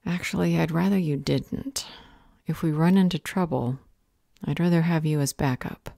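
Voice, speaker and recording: Woman playing a low, mellow motherly vampire healer. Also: normal speed, creaky, dry recording, studio quality. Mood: matter-of-fact. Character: protective motherly older female vampire healer, calm, caring mentor. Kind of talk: audio roleplay acting.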